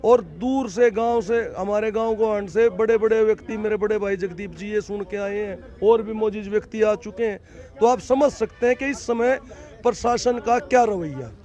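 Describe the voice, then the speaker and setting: A man's voice: sits high, moderate pace, mellow, dull audio. A man at an outdoor protest speaking to a reporter in an indignant, emphatic way, repeating his points. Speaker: protest organizer. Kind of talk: impassioned protest speech to reporter.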